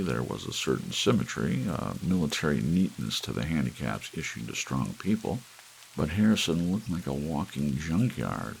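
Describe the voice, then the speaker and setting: A man with a deep, heavily creaky voice reads a story in ASMR fashion. His intonation rises and falls expressively. Soft rain and a faint hiss run behind him. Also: poor audio. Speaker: audiobook narrator. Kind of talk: relaxed ASMR story narration.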